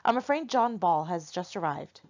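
Woman reading as a brisk innkeeping widow. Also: fairly low pitch, expressive, a little mellow. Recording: dull lo-fi recording.

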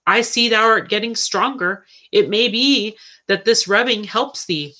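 Lady reading a humble caring friar, earnest olden-days phrasing, bright tone. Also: medium pitch, voice pushed up. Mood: gentle, encouraging. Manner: measured, archaic, earnest speech. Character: humble, caring medieval friar encouraging a boy.

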